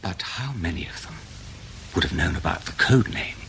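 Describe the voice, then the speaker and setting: An actor playing a probing, sceptical intelligence investigator in a radio drama, formal, precise and dryly polite. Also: male voice, low voice, animated, moderate pace, creaky, fairly bright tone, slight background noise, full character voice. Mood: pressing, sceptical. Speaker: interrogator in a spy drama.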